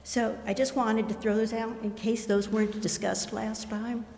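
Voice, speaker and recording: A woman's voice: fairly high pitch, flat delivery, normal speed, vocal fry, darkish tone. An older American woman giving informal, plain-spoken public comment at a meeting in a conversational way. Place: indoor council chamber. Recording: roomy, echoing council chamber with faint noise.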